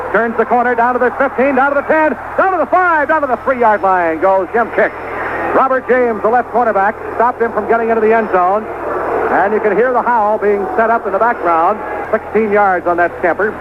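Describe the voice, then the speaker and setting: An American man calling live football play-by-play on the radio in clipped short phrases, rising excitedly as the action develops. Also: high-pitched, fairly quick, phone-quality sound. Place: outdoor stadium broadcast position. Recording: noisy band-limited 1970s radio broadcast.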